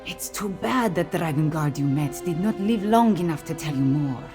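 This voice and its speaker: A woman voicing a composed, regal cat-folk queen in a video game, speaking in a measured, formal manner. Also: sits low, expressive, over music. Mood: measured, reflective. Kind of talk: scripted video game dialogue.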